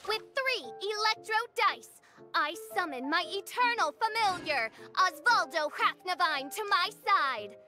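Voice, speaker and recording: A woman's voice: extremely high pitch, animated, measured pace, over music. A woman voicing a grandiose teenage princess in an anime video game, with pompous, archaic and melodramatic delivery. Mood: imperious, grand. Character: grandiose theatrical teenage girl posing as fantasy princess.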